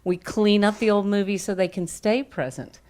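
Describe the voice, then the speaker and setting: A woman from Arkansas, a volunteer practitioner, sharing her story in earnest, warm casual talk and laughing easily. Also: medium pitch, steady tone, normal speed. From Arkansas, United States. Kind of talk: casual personal testimony.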